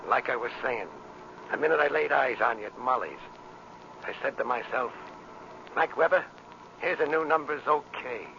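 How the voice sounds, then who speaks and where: A man's voice: mid-range voice, moderate pace, vocal fry, pauses often, bright, forceful tone. An actor playing a brash, pushy, lustful tough guy in a 1940s radio drama, talking in impatient, wisecracking slang. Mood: cocky, amorous. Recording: noisy, muffled old radio recording.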